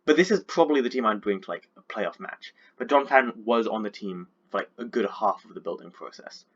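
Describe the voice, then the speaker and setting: A man's voice: fairly low pitch, lively intonation, a little fast, slightly rough, dull audio. A man with a sore throat from being sick, chatting casually and rambling with lots of fillers as he breaks down his team. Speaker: competitive Pokemon league coach.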